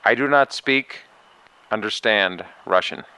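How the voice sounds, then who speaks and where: Bright, clear man announcing lesson prompts. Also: lowish voice, lively intonation, slow pace, low-quality recording. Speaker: lesson narrator. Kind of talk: scripted narration of lesson prompts.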